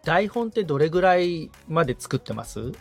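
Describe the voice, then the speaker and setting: A man's voice: on the high side, very animated, fairly quick, faint music behind. A man as a podcast assistant and learner, attentive and polite in conversation with the host. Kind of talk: casual interview-style dialogue with short responses and questions.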